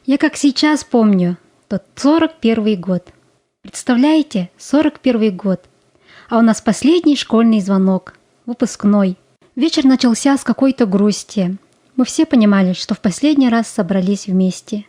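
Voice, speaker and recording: Woman playing a dreamy, mellow-voiced schoolgirl of 1941. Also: a little high, expressive, moderate pace, close to an everyday voice. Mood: nostalgic. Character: dreamy romantic Soviet school-leaver girl recalling summer 1941. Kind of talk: dramatic monologue in audio play.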